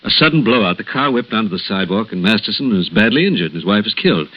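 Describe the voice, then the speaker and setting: Low-pitched American doctor, solemn and hesitant, vintage radio play on a dull lo-fi recording. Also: male voice, sing-song, quick pace. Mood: grave, explaining. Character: grave, hesitant male hospital doctor breaking bad news gently. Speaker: radio actor. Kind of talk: 1960s radio drama acting.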